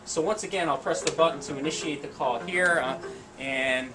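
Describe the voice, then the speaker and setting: A man's voice: on the high side, moderate pace, rough recording. A man in his forties giving an informal, plain-spoken technical product demonstration. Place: indoor equipment lab or demo room. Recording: clear background noise in room.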